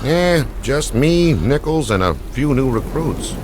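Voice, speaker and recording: A man's voice: mid-range voice, big pitch swings, slow pace. An actor playing an uneasy small-town policeman, folksy as he explains things to a colleague in game dialogue. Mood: uneasy, explaining. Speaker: police officer. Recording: loud storm noise over dialogue.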